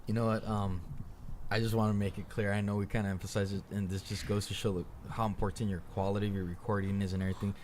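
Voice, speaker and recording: Low-voiced man giving earnest feedback. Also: flat delivery, a little mellow, a little hiss or hum. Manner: earnest, measured, constructive. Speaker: panelist. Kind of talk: casual music critique.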